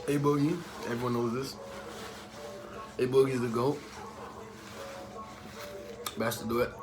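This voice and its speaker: A man's voice: medium pitch, fairly even intonation, unhurried, over music, low-quality recording. A young man from the Bahamas answering viewer questions in a casual, joking, slang-filled vlog chat. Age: young adult. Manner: laid-back, joking, streetwise slang.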